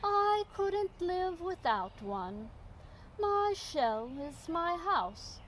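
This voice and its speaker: A woman voicing a contented, proud female turtle in a children's storybook, drawing words out slowly and deliberately. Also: very high-pitched, very animated, a bit bright, slight background noise, voice pushed up, full character voice, rough recording. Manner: drawn-out, deliberate delivery. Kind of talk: children's storybook read-aloud.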